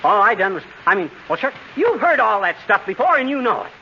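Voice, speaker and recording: Grumbling folksy husband, noisy old radio. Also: male voice, high-pitched, sing-song, fairly quick, sharp tone, exaggerated voice. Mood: flustered. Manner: folksy slangy drawling grammar, sputtering when flustered. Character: grumbling, folksy husband, easily flustered and wisecracking. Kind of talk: 1940s radio comedy acting. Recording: noisy, muffled 1940s radio recording.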